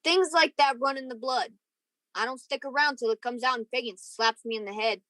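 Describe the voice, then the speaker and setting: A woman's voice: high voice, a little fast, a bit bright, no echo. A woman playing a bitter, sardonic young man under police questioning in an old-time radio crime play, sarcastic and defiant. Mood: bitter defiance.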